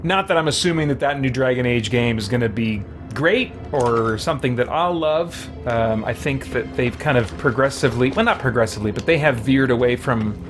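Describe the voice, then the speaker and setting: A man's voice: mid-range voice, fairly quick, few pauses, over music. A man chatting casually and a little self-effacingly while livestreaming a game. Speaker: game streamer.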